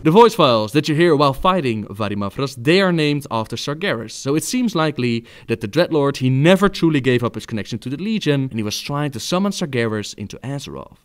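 A man's voice: medium pitch, lively intonation, fast talker, hardly any pauses, clean tone. A man giving scripted story narration about a game, told phrase by phrase as a video narrator.

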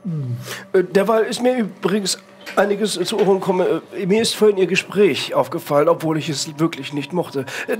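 Man acting as discreet butler, formal, hesitant, servile, fairly high pitch, audio drama, slight background noise. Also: darkish tone, close to an everyday voice. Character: deferential, discreet male butler reporting to lords. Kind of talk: improvised pen-and-paper audio drama acting.

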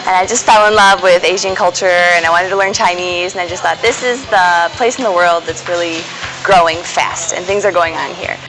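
A woman's voice: lowish voice, steady tone, fairly quick, poor audio. A young woman from Michigan, a graduate student chatting in an interview, recorded outdoors on a lapel mic. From United States, Michigan. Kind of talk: interview testimonial. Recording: music bed behind voice.

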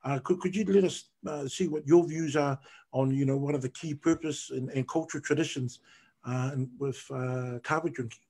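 A man's voice: medium pitch, steady tone, normal speed, a little mellow, husky. A man hosting a live online talk show over a video call, asking questions hesitantly and searching for his words. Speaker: host.

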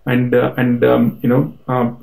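A man in his forties giving an informal explainer to camera, halting and repeating words, often saying "you know". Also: medium pitch, monotone, creaky, darkish tone. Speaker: presenter, company principal. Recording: roomy, echoey indoor sound.

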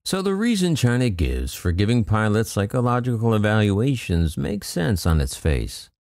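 A man's voice: on the low side, huge pitch swings, normal speed, a little mellow, no echo, clean studio sound. A man hosting an aviation channel, reading news reports aloud in a scripted commentary and adding wry, skeptical asides. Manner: reads news quotes, then adds wry skeptical asides.

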